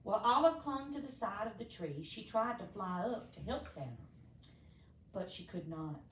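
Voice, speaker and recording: Animated woman reading a children's story. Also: medium pitch. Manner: theatrical, deliberate emphasis for young listeners. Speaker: storybook reader. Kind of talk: expressive children's story read-aloud. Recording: echoey room, distant mic, faint noise.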